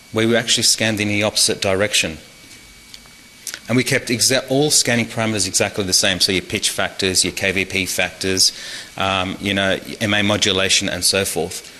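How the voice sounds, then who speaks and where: A man's voice: on the low side, bright, forceful tone. A man giving an academic medical presentation at a conference, explaining things informally and addressing listeners directly. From Australia. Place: conference hall. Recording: reverberant hall with faint noise.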